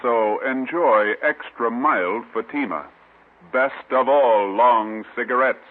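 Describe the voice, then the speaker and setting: A man announcing formally on 1950s radio in a solemn, measured scripted style. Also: medium pitch. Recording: tinny old radio broadcast recording.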